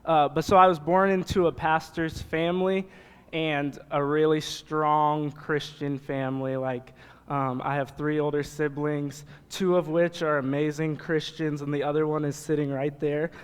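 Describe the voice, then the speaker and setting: A man's voice: on the high side, flat delivery, measured pace, smooth. A young American man, a youth pastor from Nebraska, preaching informally to a congregation in a casual, joking, conversational way. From Nebraska, United States. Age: young adult. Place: church hall, speaking to congregation. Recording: reverberant hall sound.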